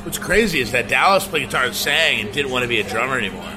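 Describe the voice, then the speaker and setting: A man's voice: mid-range voice, lively intonation, fast. A man in his thirties from Oklahoma, a band's guitarist, chatting in eager, laughing, rambling bursts with casual swearing. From Oklahoma, USA. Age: 30s. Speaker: band's guitarist, interview guest. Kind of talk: casual storytelling chat. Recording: music playing underneath.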